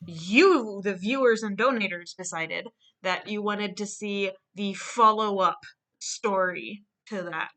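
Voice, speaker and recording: A woman with a bright, forward voice hosts a livestream. She chats casually at a middling pitch. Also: slow pace, rough recording. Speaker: livestream host. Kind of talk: casual chat.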